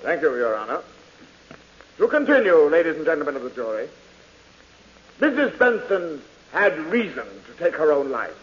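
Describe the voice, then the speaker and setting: Casting: formal courtroom lawyer, American radio drama, declamatory and lively, muffled old recording. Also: male voice, fairly high pitch, normal speed, long pauses, big-room sound. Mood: solemn oratory. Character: courtroom figures: formal judge and orating defense lawyer. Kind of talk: 1940s radio acting.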